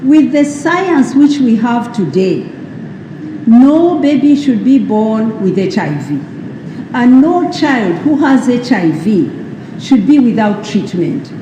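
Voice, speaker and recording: A woman's voice: fairly high pitch, sing-song, lo-fi sound. Woman speaker at a public ceremony, emphatic and rhetorical, noisy echoing PA. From Uganda. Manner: slow, emphatic, rhetorical questions. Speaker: speaker at a World AIDS Day event. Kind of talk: formal public speech. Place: large hall at a public ceremony.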